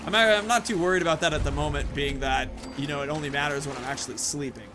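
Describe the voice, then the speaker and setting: A man's voice: on the high side, fast, background noise. A man giving casual let's play commentary as a gaming host, rambling and thinking aloud.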